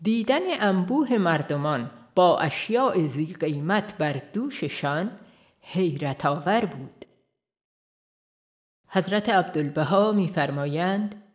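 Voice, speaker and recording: A woman reading an audiobook aloud in a calm, measured way, pausing gently between phrases. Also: on the low side. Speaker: audiobook narrator. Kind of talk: scripted audiobook narration. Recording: faint music behind the voice. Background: soft background music.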